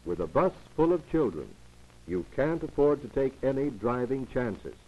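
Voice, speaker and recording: A male narrator delivers formal, didactic instruction in 1940s film style. His voice is mellow and moves widely in pitch as he reads in measured phrases. The old soundtrack is dull and lo-fi. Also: animated, slightly rough.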